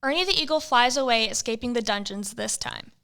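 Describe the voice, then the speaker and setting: A woman's voice: on the high side, brisk, heavy background noise. A woman narrating an amateur comedy audio drama in a matter-of-fact way.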